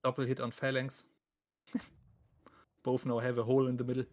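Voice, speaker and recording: A man's voice: medium pitch, completely flat delivery, normal speed, a little mellow. A man with a thick German accent doing live esports commentary on a game, casual, joking and self-deprecating as he talks to his viewers.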